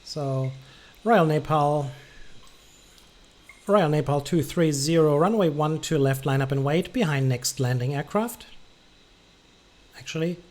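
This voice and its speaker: Man, fairly high voice, tower controller style, clipped commands, casual gamer asides. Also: measured pace, pauses often, darkish tone. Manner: clipped procedural phrasing, muttered asides to himself. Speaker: streamer playing an air traffic controller. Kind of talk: air traffic control commands with casual gaming commentary.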